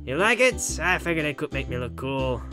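A woman's voice: sits low, sing-song, a little fast, voice pushed down. A woman voicing a cocky young boy who tries hard to act cool, boastful and dramatic, in a visual novel. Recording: loud music behind the voice.

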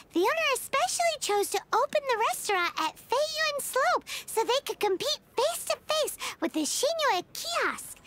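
A woman voicing a small, childish, excitable fairy companion with a squeaky voice in a video game. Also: slight background noise, heavily acted. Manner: speaks of herself in third person, exclaiming. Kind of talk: video game character voice acting.

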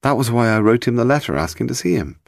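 A man's voice: medium pitch, lively intonation, quick pace, gravelly, dry recording, studio quality, pitched above the performer's own voice. A British man voicing a feisty young woman who defends her father, indignant and clipped, in an audiobook. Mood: defensive.

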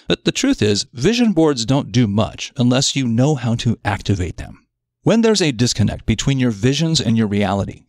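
A man reading a scripted self-development article aloud in a measured, articulate, explanatory manner. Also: medium pitch, darkish tone, no room sound, studio quality. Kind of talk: scripted narration of an audio article.

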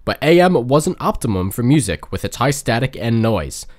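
A man's voice: mid-range voice, big pitch swings, moderate pace. A man reading scripted narration for a documentary.

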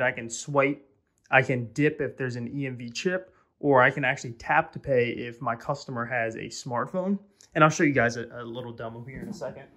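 A man's voice: a little high, a bit bright. A man presenting an informal tutorial, explaining a product step by step and talking directly to viewers. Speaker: presenter of a restaurant equipment tutorial.

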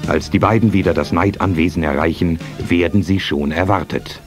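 An actor narrating a German radio play in a scripted dramatic style. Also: male voice, sits low, brisk, close to an everyday voice. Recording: loud music under voice.

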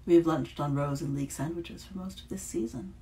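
A reader voicing a chatty, curious kappa in a storybook reading, with a gravelly tone. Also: mid-range voice, fairly quick, mellow, natural voice.

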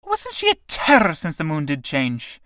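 A woman's voice: deep voice, wildly swooping pitch, normal speed, fairly bright tone, slight background noise, full character voice. A woman reading a play aloud and voicing a sly, drink-loving old tinker woman with a mocking, wheedling manner.

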